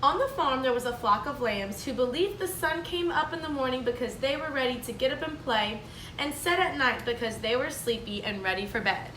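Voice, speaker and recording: A woman, a kindergarten teacher, reading a storybook aloud to children in a clear, explaining, sing-song manner. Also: high voice, lively intonation, brisk, noisy background. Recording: echoey room, mic at a distance.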